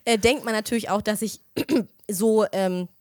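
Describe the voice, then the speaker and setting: A young woman co-hosting a casual chat, telling lively stories, laughing often and getting loud when worked up. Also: mid-range voice, a little slow, a little hiss or hum.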